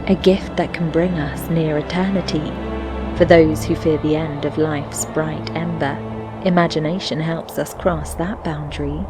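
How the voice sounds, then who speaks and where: A reflective female narrator recites melancholy fantasy poetry for a game. Her voice sits a little low, and she takes each line very slowly and solemnly, with a lyrical, measured cadence. Music plays clearly behind her. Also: steady tone. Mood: contemplative.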